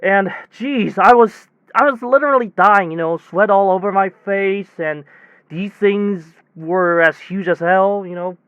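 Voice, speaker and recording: A man playing a weary, exasperated technician who tells his bizarre tale in a rambling, halting, conversational audio drama monologue. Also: high-pitched, clear, resonant tone, dry recording, lo-fi sound. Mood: agitated, incredulous. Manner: rambling, halting, animated storytelling with sighs. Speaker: voice actor in a self-written audio drama.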